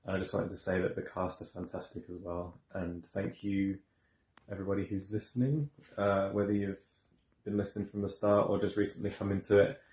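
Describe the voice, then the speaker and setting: Low, even-voiced man, roomy sound. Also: brisk, a little hiss or hum. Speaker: amateur voice actor. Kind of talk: casual unscripted thank-you message. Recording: roomy echo.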